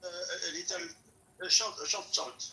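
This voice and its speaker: A man from France with a French accent, speaking English as a second language and searching haltingly for his words in a casual political chat. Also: sits high, fairly even intonation, sharp tone, roomy sound, far from the mic, a little hiss or hum, low-quality recording. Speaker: guest speaker in a live audio room.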